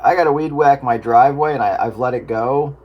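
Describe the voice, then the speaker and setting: A man chatting casually on a two-way radio, in a halting, folksy way. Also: medium pitch, dull audio. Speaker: radio hobbyist on a group channel. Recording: noisy two-way radio transmission.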